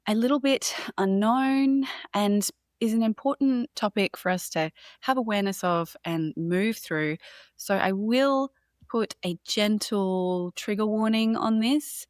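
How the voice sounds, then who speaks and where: A woman hosting a podcast, reading an upbeat scripted intro and then interviewing with emphatic, drawn-out phrasing. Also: lowish voice, no echo, studio quality. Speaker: podcast host.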